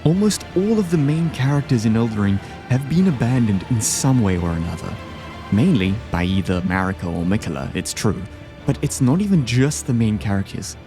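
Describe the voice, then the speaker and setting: A man's voice: medium pitch, animated, brisk. A man giving enthusiastic, scripted video essay commentary, ranking game bosses. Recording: game music behind the voice. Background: music.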